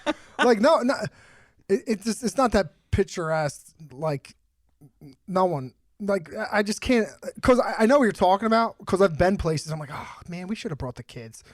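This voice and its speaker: A man's voice: sits high, big pitch swings, normal speed, no echo, clean studio sound. A man in his thirties hosting a podcast, chatting casually in a blunt, joking way and swearing freely. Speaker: podcast host. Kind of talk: casual chat.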